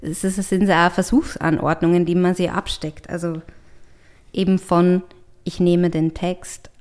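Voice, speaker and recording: Woman author guest, slightly low voice, reflective, pauses to find words. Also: flat delivery, darkish tone. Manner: thoughtful, searching for words with hesitations. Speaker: writer and artist, interview guest. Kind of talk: reflective conversational interview.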